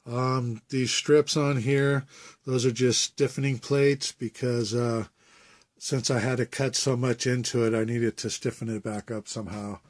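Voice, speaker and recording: A man with a cold and a phlegmy throat, talking through a hobby build update in a halting, unscripted way with many fillers. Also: mid-range voice, flat delivery, dry recording, studio quality. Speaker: RC hobbyist showing his build.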